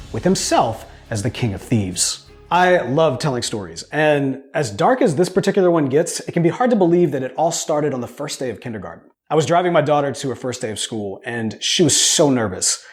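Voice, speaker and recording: A middle-aged man telling a personal story in a conversational, earnest way as he pitches his crowdfunding project. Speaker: podcast producer and storyteller pitching his audio drama.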